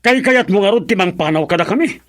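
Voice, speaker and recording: A man with an Ilocano accent and a husky voice playing a stubborn, wounded middle-aged farmer husband in a radio drama. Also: sits high, lively intonation, fast, a bit bright, dry recording, clean studio sound. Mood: upset.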